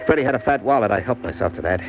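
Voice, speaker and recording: A man's voice: on the low side, lively intonation, racing, lightly acted. An actor playing a cynical, slangy hardboiled criminal who tells his own crime story in an old-time radio drama. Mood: grim, matter-of-fact. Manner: tough, wry, slangy. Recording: dull old radio recording, music behind.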